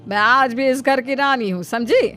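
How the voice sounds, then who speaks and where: A woman's voice: high voice, moderate pace, fairly bright tone, soft background music. A woman voicing an ailing, possessive older mother-in-law in a cartoon moral story, plaintive and domineering. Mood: possessive, bossy.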